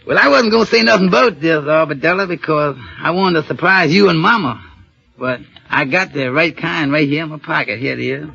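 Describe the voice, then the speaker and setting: A man's voice: fairly high pitch, fairly quick, vocal fry, pushed and bright, breathy edge, dry recording, full character voice. An actor playing a patient, earnest father in 1940s radio style, speaking in a folksy, slightly hesitant way. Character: patient, gentle, earnest father, working man. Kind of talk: 1940s radio drama acting. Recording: dull old 1940s radio broadcast.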